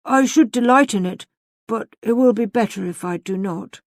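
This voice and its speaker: A woman voicing a reserved young gentleman suitor in a novel, speaking formally and emphatically. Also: fairly high pitch, moderate pace, dry recording, pitched above the performer's own voice, close to an everyday voice. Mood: regretful.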